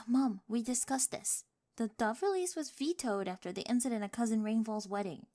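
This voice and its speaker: A woman voicing a quirky, obsessive young bride in a soft ASMR roleplay, precise and analytical, often whispering. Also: fairly high pitch, moderate pace, dry recording, close to an everyday voice. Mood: exasperated. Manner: precise, analytical, jargon-heavy speech. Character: quirky, obsessive, nerdy young bride devoted to her partner. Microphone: close, dry microphone.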